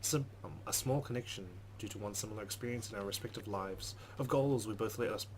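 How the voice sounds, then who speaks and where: A man's voice: fairly low pitch, fast. A man hosting a let's play, reading game text aloud dramatically and adding wry asides. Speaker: gaming host. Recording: faint background noise.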